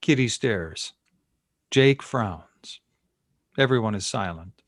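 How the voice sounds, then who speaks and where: A man's voice: on the low side, animated, slow, no echo. A man reading stage directions as narrator at a screenplay table read. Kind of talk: scripted narration.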